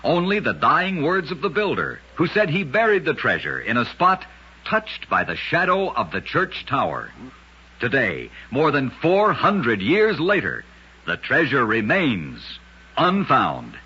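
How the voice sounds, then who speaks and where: A man narrating scripted oddities as an old-time radio host, with a dramatic, emphatic delivery and deliberate pauses. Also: mid-range voice, huge pitch swings, sharp tone, breathy edge. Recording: dull, lo-fi old radio recording.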